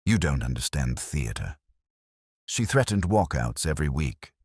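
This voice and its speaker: Male narrator playing haughty leading man with a temper, sneering delivery, very low pitch, audiobook detective fiction. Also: very animated, normal speed, darkish tone, husky, no room sound, studio quality, full character voice. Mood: scornful. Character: vain, sneering male stage actor with a temper.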